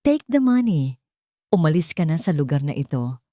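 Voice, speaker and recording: A woman narrator voicing a haughty rich matriarch in an audiobook drama, gently spoken but poisonous and condescending. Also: deep voice, sing-song, moderate pace, dry recording, clean studio sound. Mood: dismissive contempt.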